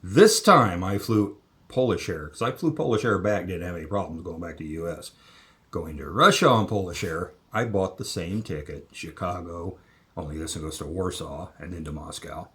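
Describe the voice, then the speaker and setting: A man's voice: low-pitched, moderate pace, a bit bright. A man vlogger telling a travel story casually, rambling and correcting himself with many restarts.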